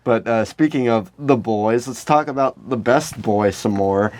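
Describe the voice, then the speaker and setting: A man's voice: medium pitch, measured pace, a little mellow. A man co-hosting a podcast, riffing in casual, profane comedic banter close to the microphone. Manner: profane, riffing, joking with exaggerated delivery. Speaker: podcast co-host.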